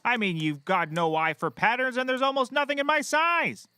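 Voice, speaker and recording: A man playing a petty, excitable, bombastic private investigator with a bickering, theatrical delivery in a comedy. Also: very high-pitched, moderate pace, clean tone, no room sound. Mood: scornful.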